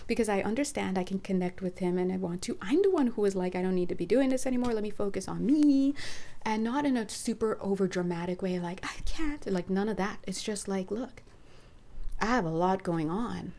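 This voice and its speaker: Hoarse woman giving a spiritual talk. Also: mid-range voice, mellow. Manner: earnest, rambling, asks listeners direct questions. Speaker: spiritual reader. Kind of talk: casual spiritual talk to camera.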